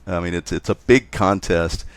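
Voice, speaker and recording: A man chatting casually as an interview guest, halting often and repeating words as he goes. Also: low-pitched, clear, resonant tone, no echo. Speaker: interview guest, contest organizer.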